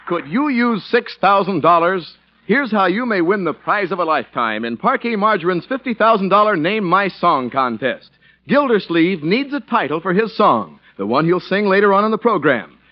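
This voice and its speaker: A man's voice: high-pitched, sing-song, moderate pace. A man announcing in scripted 1940s radio style, polished and emphatic. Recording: muffled vintage radio recording.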